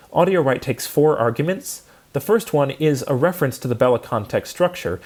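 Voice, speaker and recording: A man's voice: mid-range voice, a little mellow. A man giving an instructional lecture, explaining things methodically step by step.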